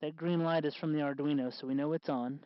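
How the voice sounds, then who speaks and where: Male tutorial host, fairly high voice, informal step-by-step demo delivery, dull dry recording. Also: fairly even intonation, quick pace, dry recording. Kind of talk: hands-on electronics demonstration.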